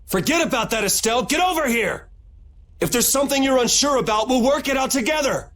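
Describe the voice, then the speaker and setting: A man's voice: very high-pitched, animated, fairly quick, fairly bright tone. An actor playing a bold, sardonic young swordsman hero in a video game, casual and assertive. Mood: urgent.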